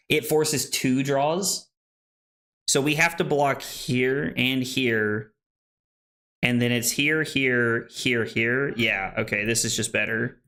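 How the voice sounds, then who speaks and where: A man in his late twenties, a streamer chatting casually and thinking out loud while he plays a card game. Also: mid-range voice. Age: 20s. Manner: chatty, joking, thinks aloud. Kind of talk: casual live-stream chat.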